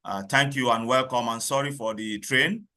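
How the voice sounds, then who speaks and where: A man's voice: medium pitch, normal speed, sharp tone. A man hosting a webinar on a video call, speaking hesitantly with many fillers. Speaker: webinar host.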